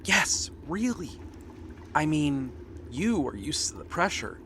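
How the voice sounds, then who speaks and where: A man's voice: fairly high pitch, sing-song, takes it slowly, sharp tone, a little hiss or hum, heavily acted. A man playing a nervous, bookish young pirate prince in an ASMR roleplay, hesitant and earnest. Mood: wistful, earnest.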